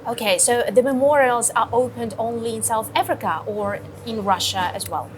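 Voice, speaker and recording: A woman interviewing a guest, asking formal questions in a polite, steady way. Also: fairly high pitch, normal speed, slight background noise.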